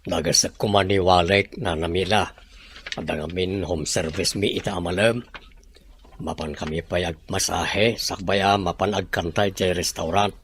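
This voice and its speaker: A man's voice: sits low, fairly even intonation, moderate pace, a little hiss or hum. A man acting an earnest young man, a worried friend, in an Ilocano radio-style drama. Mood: concerned.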